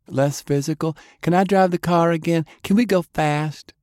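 A man's voice: a little high, normal speed, darkish tone, no room sound, studio quality, pitched above the performer's own voice, close to an everyday voice. A man voicing an eager, brash young girl in an audiobook, exclaiming as she talks. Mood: excited.

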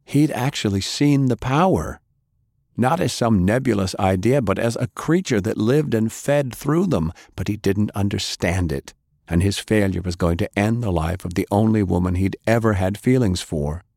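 Male narrator, low-pitched, grave dramatic storytelling, very dry studio sound. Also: moderate pace, gravelly, a little mellow, no room sound. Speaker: audiobook narrator. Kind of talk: dramatic scripted fiction narration.

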